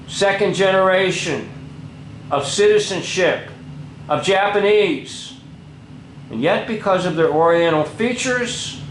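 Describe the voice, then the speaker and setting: A man's voice: a little high, reverberant, slight background noise, poor audio. A man commenting over a documentary in an indignant, lecturing way.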